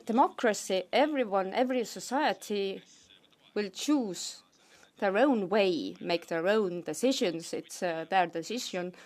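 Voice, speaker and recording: A woman interpreting a parliamentary speech into English at a middle pitch. She hesitates often and fills the gaps with many fillers and pauses.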